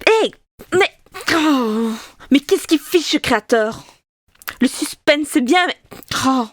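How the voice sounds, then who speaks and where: A woman's voice: sits high, animated, takes it slowly, a bit bright, no echo, heavily acted. A woman playing a wry, teasing storyteller, narrating a scripted audio comedy adventure theatrically. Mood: exasperated.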